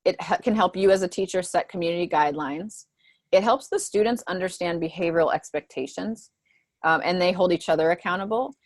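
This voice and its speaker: A woman's voice: on the low side, a little fast, no echo. Woman mentoring teachers on a video call. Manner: conversational, many "um" and "right?" asides. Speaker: school mentor presenting to teachers.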